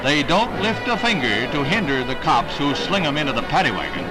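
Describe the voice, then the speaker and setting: High-pitched American newsreel announcer man. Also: sing-song, brisk. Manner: dramatic, formal announcer delivery. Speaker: newsreel narrator. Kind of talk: 1960s newsreel narration, scripted. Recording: vintage newsreel soundtrack, loud music behind.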